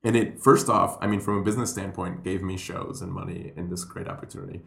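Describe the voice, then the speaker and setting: A man from Puerto Rico, a comedian telling stories in a rambling, animated way on a podcast. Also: sits low, fast, creaky. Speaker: comedian and guest. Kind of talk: casual podcast chat. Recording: roomy echo.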